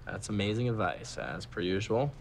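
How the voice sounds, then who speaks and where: A man's voice: lowish voice, lively intonation, moderate pace, a little hiss or hum. An actor playing a young aspiring actor who is dramatic and easily exasperated with his friends. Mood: sarcastic.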